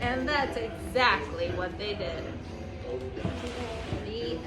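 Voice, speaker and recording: A woman reading a picture book aloud to young children, phrasing deliberately with exaggerated emphasis. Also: medium pitch, reverberant, over loud music. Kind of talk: animated children's story read-aloud.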